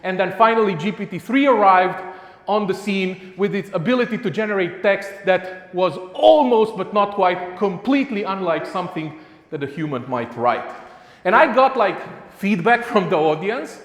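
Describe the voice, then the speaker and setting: A man's voice: sits high, animated, normal speed. Chatty Romanian man in an echoey hall. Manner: chatty and self-mocking, with frequent "like" and restarts. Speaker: conference speaker. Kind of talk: live tech conference talk. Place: conference hall before a live audience. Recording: echoey hall with a long reverb.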